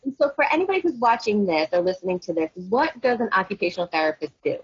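A woman's voice: medium pitch, big pitch swings, fast talker, breathy edge, lo-fi sound. Upbeat chatty woman podcast host. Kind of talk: casual interview and self-promotion.

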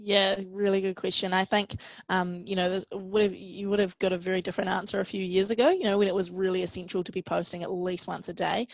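A woman's voice: medium pitch, little pitch movement, fast, creaky, few pauses, dry recording. A woman giving casual, explanatory answers as a social media expert guest in an interview. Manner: conversational, explanatory.

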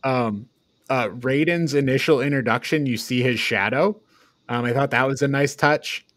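A man chatting casually as a podcast co-host, haltingly thinking aloud through a review. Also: medium pitch, a bit bright, no room sound, clean studio sound.

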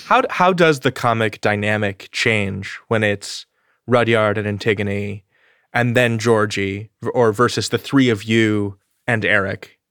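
A man's voice: on the low side, measured pace, fairly bright tone, dry recording. Curious male interviewer, dry sound. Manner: curious, asks questions with small restarts. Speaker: podcast host.

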